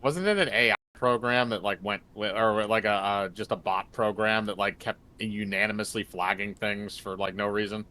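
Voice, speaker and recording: A man's voice: on the low side, steady tone, normal speed, few pauses, sharp tone, a little hiss or hum. A man co-hosting a livestream with casual, jokey banter and commentary over game music. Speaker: streamer co-host. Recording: music behind.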